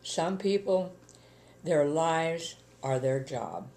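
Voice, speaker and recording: Older woman with a gravelly voice. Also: low voice, very slow. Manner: plainspoken, steady, with many ums. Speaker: interviewee. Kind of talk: casual reminiscing chat.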